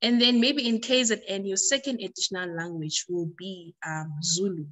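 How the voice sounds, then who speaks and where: A woman's voice: mid-range voice, fairly even intonation, moderate pace, a bit bright. South African woman explaining things conversationally. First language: Xhosa. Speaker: language tutor being interviewed. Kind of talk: conversational interview answers.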